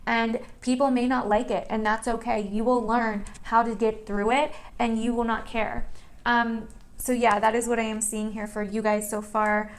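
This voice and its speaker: A woman's voice: a little high, little pitch movement, normal speed. A woman hosting an online tarot reading, talking to viewers in a casual, chatty, emphatic way and repeating phrases for stress.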